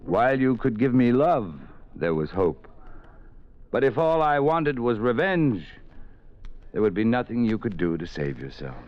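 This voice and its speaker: A menacing gun-wielding writer. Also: male voice, mid-range voice, big pitch swings, unhurried. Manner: sinister, toying, swings from soft to sudden shouts. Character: menacing male writer holding a woman at gunpoint. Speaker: radio drama actor. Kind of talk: 1940s radio acting. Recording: dull lo-fi old radio recording.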